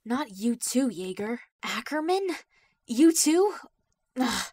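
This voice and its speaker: A woman's voice: a little high, big pitch swings, slow pace, a little mellow, exaggerated voice. A woman playing a reluctant, exasperated recruit in a fan audio drama, sounding unimpressed.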